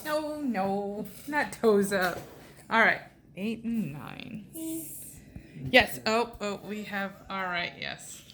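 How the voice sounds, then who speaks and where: A woman in casual family chat, coaxing and teasing in an animated way. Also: mid-range voice, slow, slight background noise.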